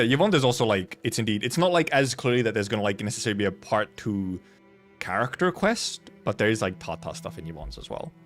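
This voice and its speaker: Lowish voiced guy streamer just rambling, repeats himself a lot, tone jumps around, music on behind him. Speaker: game streamer. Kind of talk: casual livestream chat.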